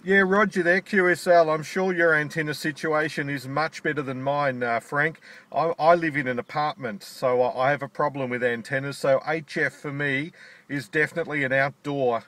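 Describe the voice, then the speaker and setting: A man's voice: a little high, fairly even intonation, normal speed, a bit bright. An Australian man making an amateur radio contact from his car in a park, talking in radio procedure with call signs and "over", friendly and delighted.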